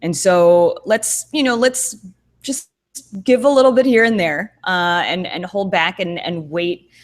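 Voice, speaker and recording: Low-voiced woman chatting with fillers. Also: a little slow. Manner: thoughtful, rambling with many fillers and restarts. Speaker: co-host. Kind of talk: casual podcast chat. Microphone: video call.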